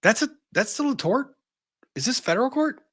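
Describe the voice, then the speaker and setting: A man's voice: very high-pitched, big pitch swings, normal speed, fairly bright tone. A man hosting casual legal commentary in a blunt, exasperated, sometimes profane way.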